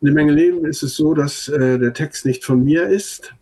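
An older man whose first language is Low German answers interview questions about his band. His voice is husky and warm, and he speaks haltingly, restarting phrases and filling pauses. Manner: hesitant, many fillers and restarts. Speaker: band leader and songwriter.

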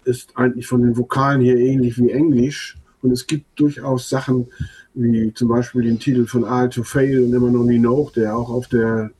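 Older man, husky, mellow, hesitant speech. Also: medium pitch, little pitch movement, moderate pace, poor audio. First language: Low German. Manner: hesitant, many fillers and restarts. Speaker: band leader and songwriter. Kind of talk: radio interview answers.

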